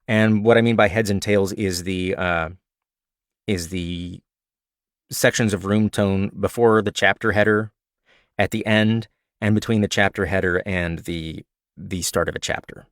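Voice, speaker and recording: A man with a slightly raspy voice, an audiobook narrator casually answering questions and sharing recording tips. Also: low-pitched, measured pace, no echo, clean studio sound. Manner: relaxed, chatty, self-described nerd with frequent fillers. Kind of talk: casual Q&A livestream talk.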